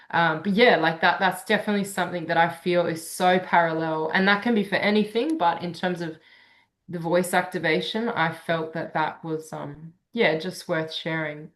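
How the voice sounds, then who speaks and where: A woman sound healer speaks in a lowish voice, earnest and unhurried. She explains her practice in a casual online session, with frequent fillers and restarts. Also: fairly bright tone.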